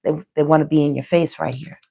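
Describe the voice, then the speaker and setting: A woman with a low, hoarse voice hosts a live tarot reading. She talks casually and colloquially to her viewers, often checking in as she interprets the cards. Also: fairly even intonation, quick pace, mellow, slight background noise. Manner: colloquial, frequently checking in with "you know" and "okay". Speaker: tarot reader hosting a live online reading.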